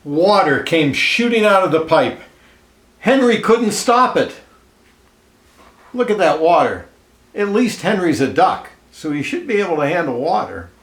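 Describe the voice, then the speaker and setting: A man reading a children's picture book aloud expressively, pausing to comment and ask listeners questions, in an echoey room. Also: sits high, big pitch swings, fairly bright tone. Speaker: storybook reader. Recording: roomy, echoey indoor sound.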